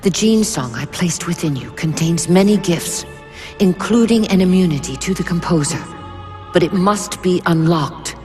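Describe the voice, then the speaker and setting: An actor playing a grave ancient alien oracle, formal and cryptic, in a video game cutscene. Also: male voice, sits high, expressive, normal speed. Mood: solemn, urgent. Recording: long reverb, music underneath.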